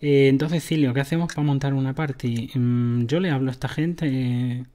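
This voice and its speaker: A man's voice: mid-range voice, steady tone, moderate pace, mellow. A man giving casual commentary on a game, explaining items to his viewers. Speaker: gaming streamer.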